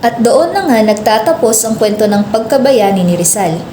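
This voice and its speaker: A woman's voice: on the high side, lively intonation, moderate pace, dark tone, a little hiss or hum, natural voice. A woman playing a teacher who narrates a scripted story in an amateur radio drama. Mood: earnest. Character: female history teacher narrating a hero's story. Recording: echoey room, music behind.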